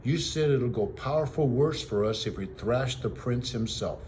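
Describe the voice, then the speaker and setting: A mean highwayman's henchman from a storybook acted out by a man, sneering and goading in rustic slang. The voice is pitched up, with faint noise in the recording. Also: normal speed, darkish tone, close to an everyday voice. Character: cackling, mean male highwayman henchman, egging on cruelty. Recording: noisy home recording.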